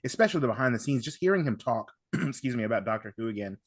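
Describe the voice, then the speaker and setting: A man speaks enthusiastically as a guest in a casual fan discussion. His pitch is average and the sound is dry. He rambles in a halting way, often circling back to reword things. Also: lively intonation, dry recording. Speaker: podcast guest.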